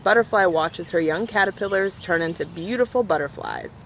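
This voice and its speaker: A woman with a slightly low voice reads a picture book aloud for children. She addresses her listeners directly in an explanatory way. There is some ambient noise behind her. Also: moderate pace, a bit bright, low-quality recording. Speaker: storybook reader for a nature organization.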